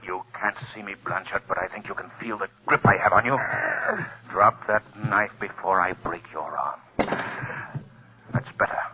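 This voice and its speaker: Mysterious radio avenger, ominous and taunting, husky, dull old 1930s recording. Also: male voice, deep voice, moderate pace. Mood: menacing mockery. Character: commanding, mocking, mysterious invisible crime-fighting avenger.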